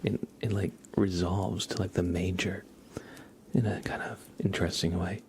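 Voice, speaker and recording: A man's voice: sits low, level tone, normal speed, darkish tone, a little hiss or hum. A man hosting a casual ASMR livestream in whispers, musing aloud as he chats. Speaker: livestream host.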